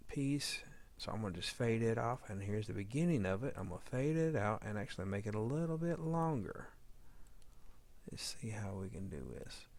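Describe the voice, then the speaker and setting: A man's voice: mid-range voice, no room sound. A man narrating an informal editing demo as a tutorial, thinking aloud and muttering to himself as he works. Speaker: audio drama editor giving a tutorial.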